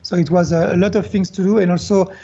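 A man with a French accent, a pastry chef speaking as a radio guest in halting English full of fillers. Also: high-pitched, steady tone, dark tone, slightly rough, dull audio. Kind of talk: radio interview.

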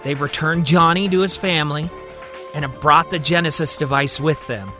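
A man's voice: a little high, normal speed, pushed and bright. A man narrating a scripted story dramatically as a storyteller. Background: music.

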